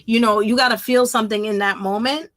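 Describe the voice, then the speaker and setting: Female interview host, middle-aged, forceful bright tone, fairly high, effusive and conversational. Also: steady tone, brisk. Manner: informal, effusive, repeats phrases. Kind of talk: casual interview chat.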